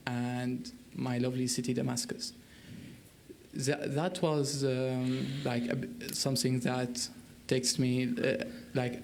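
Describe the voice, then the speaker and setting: A man's voice: medium pitch, creaky, darkish tone, slight background noise. A young man from Syria with a Syrian Arabic accent, speaking earnestly and searching for words as he puts a question in a dialogue. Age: young adult. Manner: halting, searching for words in non-native English. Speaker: youth delegate asking a question. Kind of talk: earnest prepared remarks in a dialogue.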